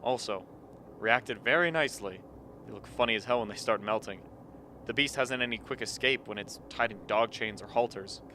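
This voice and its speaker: A man's voice: lowish voice, moderate pace, pushed and bright. An actor playing a grim, suspicious man at an isolated polar camp in a radio play, terse and wary. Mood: grimly amused. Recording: faint background noise.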